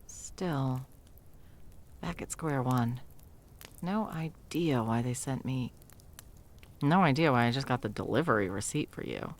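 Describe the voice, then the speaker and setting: A woman playing a sarcastic, self-doubting, hesitant Christmas elf in an intimate ASMR audio roleplay, with a creaky voice. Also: very deep voice, expressive, measured pace, long pauses, exaggerated voice. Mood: flustered, sarcastic.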